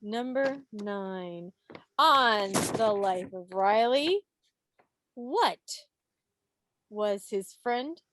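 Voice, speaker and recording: A woman hosting a club quiz on a video call, reading questions and answers in an expressive, playful way with casual asides. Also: mid-range voice, slight background noise. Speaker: quiz host at a club meeting. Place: online video meeting. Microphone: video call microphone.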